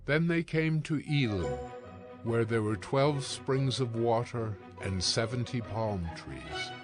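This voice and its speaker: A man's voice: medium pitch, expressive, slow pace. Male scripture narrator over music.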